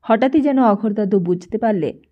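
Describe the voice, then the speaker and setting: A woman's voice: medium pitch, normal speed. A woman audiobook narrator reading literary fiction expressively, on slightly dull, lo-fi audio.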